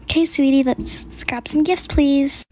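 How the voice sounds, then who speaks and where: A woman's voice: high voice, fairly even intonation, a little slow, dark tone, low-quality recording. A woman playing a self-centered, fame-hungry mother who pushes and scolds in a homemade skit. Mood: coaxing. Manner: pushy, scolding.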